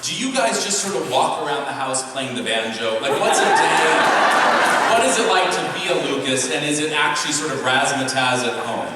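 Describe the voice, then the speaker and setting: A man's voice: medium pitch, lively intonation, brisk. A man moderating a live convention panel, asking playful, joking questions of young performers. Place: large convention hall with audience. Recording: echoey hall, distant, loud crowd noise. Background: crowd and ambient noise.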